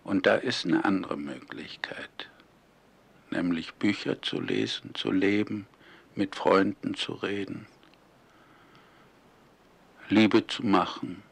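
A man conducting a reflective documentary radio interview in deliberate, measured, earnest phrasing, on a 1970s recording. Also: low-pitched, gravelly, slightly rough.

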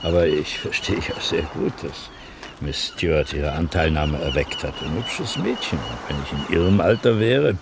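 An actor playing a shrewd, unruffled middle-aged police inspector who questions with wry courtesy in a radio crime drama. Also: male voice, a bass voice, expressive, moderate pace, creaky, exaggerated voice. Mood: wry, insinuating. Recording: old radio play with faint background noise.

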